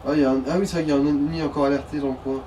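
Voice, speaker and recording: A man's voice: on the high side, flat delivery, racing, warm tone. A man banters casually as he comments on a video game, in an informal, slangy way. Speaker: let's play co-commentator. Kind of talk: casual gaming chat. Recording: distant mic with clear background noise.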